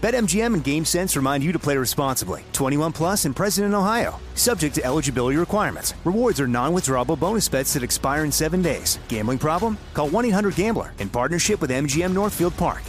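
A male announcer reads an ad in a fairly high, lively voice. Music plays behind him. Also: quick pace, few pauses. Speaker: advertising announcer. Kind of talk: promotional ad read. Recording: loud music bed behind voice.

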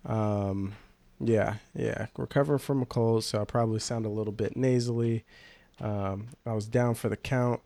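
A man's voice: lowish voice, fairly even intonation, moderate pace, warm tone, no room sound. A man hosting a casual podcast, slangy and laid-back, sounding nasal from a cold. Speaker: podcast host.

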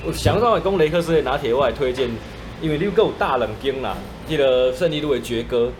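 A man's voice: mid-range voice, quick pace. Obvious cafe noise sits behind this man's mid-range voice. He speaks with a Taiwanese accent in informal podcast conversation. He is agreeable, dropping in short responses as a co-host. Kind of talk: casual podcast chat. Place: indoors in a busy coffee shop. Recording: noisy coffee shop ambience. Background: cafe background noise.

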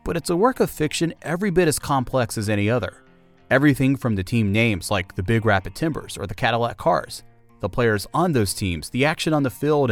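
A man hosting a podcast, delivering scripted documentary-style narration. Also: mid-range voice.

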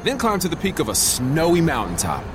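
A man's voice: a little high, huge pitch swings, brisk, noisy background. A man narrating a car commercial with a dramatic, sweeping delivery. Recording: commercial mixed with loud music.

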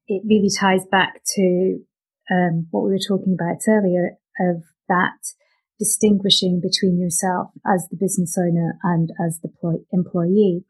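A woman's voice: medium pitch, breathy edge, rough recording. A woman hosting an interview, reflecting on the guest's points and thinking aloud with restarts. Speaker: podcast host. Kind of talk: conversational interview.

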